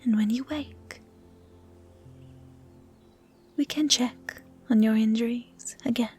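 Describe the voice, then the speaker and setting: A woman voicing a gentle, curious female dryad who guards the woods, speaking softly and often in a whisper in a roleplay audio drama. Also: on the high side, faint music behind, lightly acted. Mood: soothing, gentle. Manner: soft, often whispery, naive and measured. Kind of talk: roleplay audio drama, soft intimate acting.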